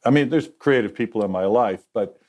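Older guy in his 60s rambling easygoing about work, lowish voice, restarts sentences a lot. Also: brisk, darkish tone. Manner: rambling, self-correcting, easygoing. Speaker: guest, TV director. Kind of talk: candid conversational storytelling.

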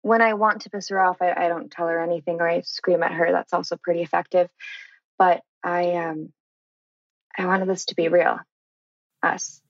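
A woman's voice: on the low side, little pitch movement, moderate pace, no echo, natural voice. Dull-sounding woman reading as earnest teenage girl. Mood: earnest, uncertain. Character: earnest, impulsive teenage girl in a teen drama. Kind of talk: table read acting.

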